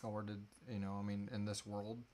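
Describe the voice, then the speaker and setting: A man in his thirties with a fairly low voice hosts an informal Q&A. He talks casually and with a joking edge, as if among friends. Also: completely flat delivery, moderate pace, a little mellow. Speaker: host of a live Q&A, audio drama creator. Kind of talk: casual chat, answering audience questions. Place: small room at home, indoors, seated.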